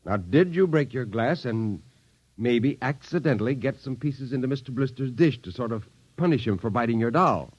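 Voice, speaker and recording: A man's voice: mid-range voice, lively intonation, normal speed, husky. An actor playing a stern, exasperated husband and father in 1950s radio drama style, given to lecturing. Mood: stern questioning. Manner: lecturing, hesitant starts with "well" and "now". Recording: dull vintage 1950s radio recording.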